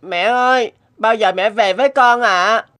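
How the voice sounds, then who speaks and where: A woman voicing a sweet, polite and eager young grandchild in an animated moral story. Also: a little high, measured pace. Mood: longing. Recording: music clearly behind the voice.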